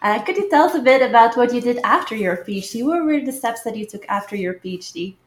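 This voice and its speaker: A woman's voice: fairly high pitch, lively intonation, fast talker. A woman hosting a podcast, introducing a guest and asking friendly questions with small restarts. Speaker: podcast host, professor. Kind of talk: podcast interviewing. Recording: echoey room.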